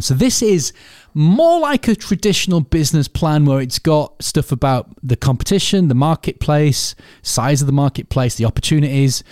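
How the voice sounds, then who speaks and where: A man's voice: mid-range voice, a little mellow, dry recording, studio quality. A man hosting a solo podcast, sniffling from a cold as he candidly explains his planning in a thinking-aloud way. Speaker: podcast host and business owner.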